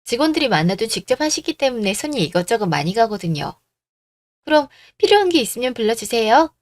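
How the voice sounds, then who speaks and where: A woman plays a composed young bride-to-be in a radio drama. The voice is fairly high and polite, recorded very dry. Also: very animated, fast talker, no room sound. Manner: courteous, composed. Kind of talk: Korean radio story drama.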